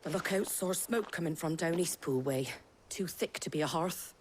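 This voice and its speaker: A woman's voice: lowish voice, normal speed, darkish tone, breathy edge. A woman playing a tough, plain-spoken innkeeper and village leader in a game drama, blunt and down-to-earth. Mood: concerned. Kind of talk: video game drama acting.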